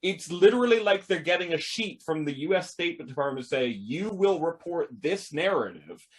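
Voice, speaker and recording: A man's voice: fairly high pitch, expressive, normal speed. A man hosting a casual video-call panel discussion through a headset, speaking emphatically and laying out his points one by one. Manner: emphatic, lists points, invites others to join.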